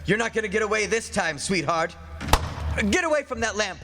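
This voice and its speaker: An actor playing a smug, murderous husband who sweet-talks and then panics, in old-time radio drama style. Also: male voice, high-pitched, lively intonation, a little fast, long reverb tail, background noise. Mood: menacing, agitated. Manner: theatrical, muttering sweet talk to himself. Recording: stage radio play with music behind.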